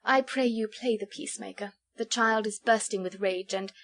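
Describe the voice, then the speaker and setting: A woman's voice: medium pitch, moderate pace, a bit bright. Woman acting a merry, teasing society lady. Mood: merry, wry. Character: merry, teasing young English lady of fashion. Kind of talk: storybook character voice.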